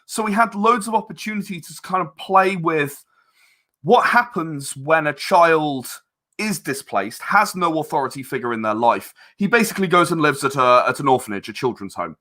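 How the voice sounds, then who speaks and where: A man being interviewed as a guest, explaining his creative work in a casual, halting way and repeating words as he thinks. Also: fairly high pitch, expressive, pushed and bright. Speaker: interview guest, audio drama director and voice actor.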